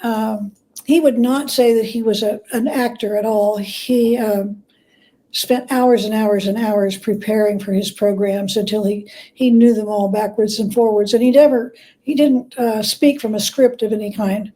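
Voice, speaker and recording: A woman's voice: mid-range voice, steady tone, a little slow, dark tone, low-quality recording. A woman speaking as an interview guest, telling anecdotes conversationally and often rephrasing herself as she explains. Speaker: guest, biographer.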